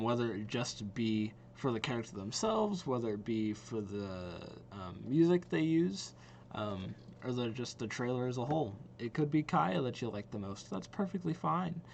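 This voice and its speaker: A young man hosts a reaction video in a casual, rambling style. His voice sits at an average pitch for a man. There is faint noise and hum behind him. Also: vocal fry, a little mellow.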